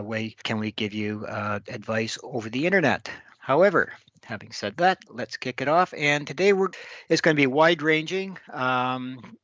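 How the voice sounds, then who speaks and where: Webinar host, male, friendly and hesitant, crisp bright tone, dead-dry room. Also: medium pitch, dry recording. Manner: friendly, halting, many fillers. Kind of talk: hosting a finance webinar.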